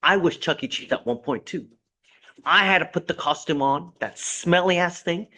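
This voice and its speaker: A man's voice: on the high side, lively intonation, normal speed, pushed and bright. A man serving as judge in a courtroom, lecturing a defendant from the bench with blunt sarcasm and folksy sayings.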